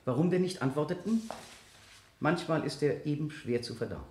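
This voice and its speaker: In an echoey radio-play courtroom, a male actor plays an insistent prosecutor. His fairly high voice moves animatedly as he presses each question with theatrical point. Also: lively intonation, normal speed, a little mellow, slight background noise, close to an everyday voice. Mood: accusing, insistent. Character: insistent male prosecutor cross-examining a defendant. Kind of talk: 1970 German radio play acting. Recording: echoey studio courtroom, older radio production.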